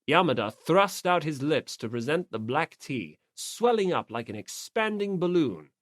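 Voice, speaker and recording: Woman, audiobook narrator, scripted storytelling, slightly low, very animated intonation, dry sound. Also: moderate pace, dry recording.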